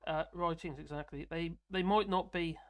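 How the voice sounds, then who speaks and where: A man from the UK with a fairly high voice, hosting a live stream and rambling casually through viewer comments with frequent chuckles. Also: steady tone, moderate pace. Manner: rambling, self-deprecating, chuckling often.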